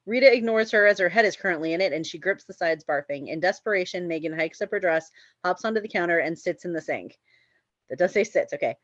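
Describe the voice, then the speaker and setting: A woman's voice: on the low side, expressive, fast, no room sound, dull audio. A woman reading screenplay stage directions as the narrator of a table read.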